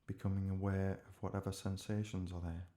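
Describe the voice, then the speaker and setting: Deep, mellow-voiced male meditation guide. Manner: gentle, unhurried, calm, measured phrasing with pauses. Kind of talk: slow guided relaxation meditation.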